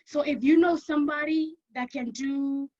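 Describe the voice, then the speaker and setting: A woman with a Kenyan accent giving an energetic informational talk to prospective students, often checking in with "okay?". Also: high-pitched, normal speed, dull audio. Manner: energetic, keeps checking in with "okay?", repeats for emphasis. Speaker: university lecturer.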